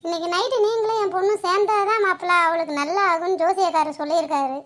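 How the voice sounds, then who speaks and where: Woman doing a very high-pitched fussy cartoon mother. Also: fairly even intonation, quick pace, a bit bright. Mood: insistent. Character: fussy, insistent middle-aged mother and mother-in-law. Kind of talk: cartoon comedy dialogue.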